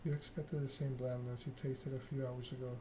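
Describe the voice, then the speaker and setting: A man narrates a story game as ASMR, reading in a measured storybook manner. His pitch is middling and stays very even, with clear noise behind the voice. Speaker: let's play host reading game text aloud. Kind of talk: ASMR narration of a visual novel.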